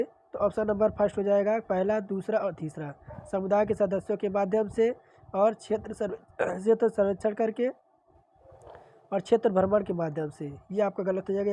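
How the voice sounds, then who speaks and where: A woman's voice: medium pitch, a little hiss or hum, poor audio. Woman teacher with a sore throat. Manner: steady explanatory reading, repeats answers. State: sore throat, clearing throat often. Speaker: exam-prep teacher. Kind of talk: reading and solving practice questions.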